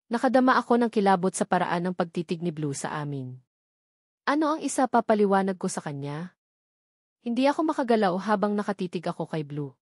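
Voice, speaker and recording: A Filipino woman delivers scripted first-person story narration. Her voice sits at a middle pitch for a woman. The sound is dry and close, like an audiobook. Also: darkish tone, dry recording.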